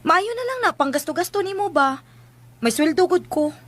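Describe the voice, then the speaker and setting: High-voiced, dreamy young Cebuano daughter. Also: female voice, lively intonation, normal speed, a bit bright. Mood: teasing. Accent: Filipino, Cebuano. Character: ambitious, playful young daughter who dreams of riches. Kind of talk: radio drama acting.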